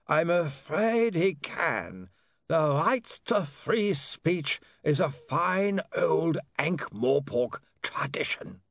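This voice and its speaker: Man doing a high-pitched, gloomy legal adviser. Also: sing-song, gravelly, a bit bright, no echo, studio quality, exaggerated voice. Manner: reluctant, deferential, measured, drawn-out.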